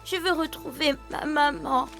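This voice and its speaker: Casting: helpless baby seal, extremely high childlike voice, plaintive and frightened, cartoon, heavy music behind. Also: female voice, a little slow, vocal fry. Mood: scared, plaintive. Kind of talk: French dubbed children's cartoon acting.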